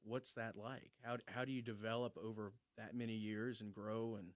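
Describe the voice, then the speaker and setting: Low-voiced young male talk show host. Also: flat delivery, moderate pace, dry recording, studio quality. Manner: affirming, asks follow-up questions. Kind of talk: casual talk show conversation.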